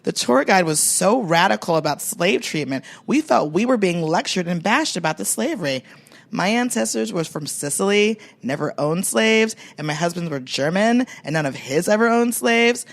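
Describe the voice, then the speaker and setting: A woman's voice: lowish voice, animated, moderate pace, creaky. Entitled tourist lady acted by a woman, comedic review reading, affected girly inflection, aggrieved and disappointed.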